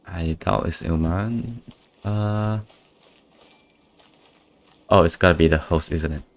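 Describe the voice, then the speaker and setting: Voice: sits low, slow pace. A woman doing casual live game commentary as a streamer, muttering offhand, skeptical reactions.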